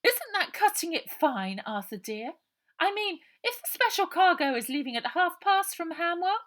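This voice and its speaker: Storybook villainess voiced by a woman, much higher pitch, fussy, wheedling, insistent. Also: big pitch swings, moderate pace, a bit bright, full character voice. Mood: fussing.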